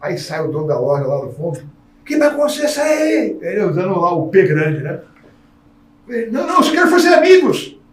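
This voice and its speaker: A man hosting a casual podcast conversation, a lively storyteller who acts out the people in his anecdotes. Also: high-pitched, fairly quick. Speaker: podcast host. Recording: roomy sound from a distant mic.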